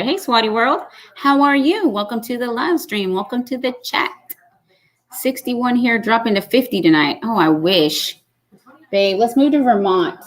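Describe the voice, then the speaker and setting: A woman, hosting a casual live stream and chatting folksily as she reads comments aloud. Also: fairly high pitch. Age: 50s. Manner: chatty and folksy, says y'all.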